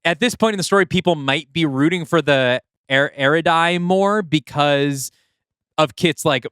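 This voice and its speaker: A man with a slightly high, smooth, clear voice, co-hosting a podcast. He picks apart characters in a chatty, analytical way, full of fillers. The sound is dry and close. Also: normal speed, a bit bright, no echo. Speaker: podcast co-host. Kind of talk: casual podcast discussion.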